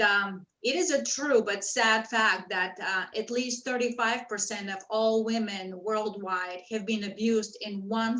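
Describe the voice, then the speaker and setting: A woman from Bulgaria, an empowerment coach, giving an earnest, measured introduction on a video panel. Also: mid-range voice, roomy sound, far from the mic, rough recording. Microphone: video call.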